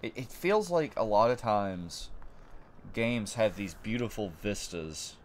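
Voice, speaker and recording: A man's voice: on the low side, big pitch swings, slow, a little hiss or hum. A man casually commentating his gameplay with a stuffy nose, rambling and repeating words as he thinks. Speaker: let's play host.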